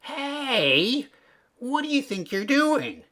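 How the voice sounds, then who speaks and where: A man's voice: very high-pitched, sing-song, very slow, pushed and bright, voice pushed up, full character voice. A man voicing a spoiled, bossy teenage boy beast from a storybook, cocky and blunt. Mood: indignant. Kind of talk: storybook character voice.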